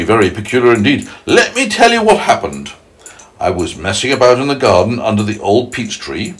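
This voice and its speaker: Man putting on a boastful centipede with swooping pitch. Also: a little hiss or hum, rough recording. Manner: theatrical, drawn-out boasting. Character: boastful, bossy, gleeful male giant centipede.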